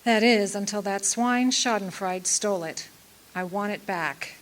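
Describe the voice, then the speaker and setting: A woman's voice: mid-range voice, unhurried, a little hiss or hum, lightly acted, rough recording. Woman playing a classy, dramatic femme fatale. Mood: indignant, urgent. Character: classy, poised femme fatale client, melodramatically pleading. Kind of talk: 1940s-style radio detective drama acting.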